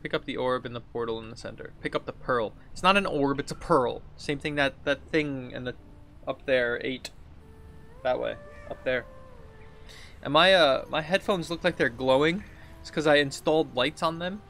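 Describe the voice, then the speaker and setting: Gravelly, bright-voiced man playing a game. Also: medium pitch, moderate pace, a little hiss or hum. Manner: rambling, thinking aloud while playing. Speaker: gaming video host. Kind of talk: casual let's play commentary. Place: indoors at a computer desk.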